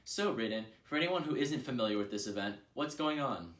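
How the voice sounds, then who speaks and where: A man's voice: medium pitch, expressive, fairly quick, fairly bright tone. A young man hosting a scripted news interview. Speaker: news host. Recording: echoey room.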